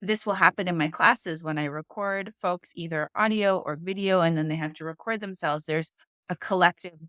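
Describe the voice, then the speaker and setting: A woman's voice: on the low side, moderate pace, sharp tone, no room sound, clean studio sound. A woman who co-hosts a book-discussion podcast and teaches speaking classes, talking earnestly and stressing her points. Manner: earnest and emphatic, repeats key points.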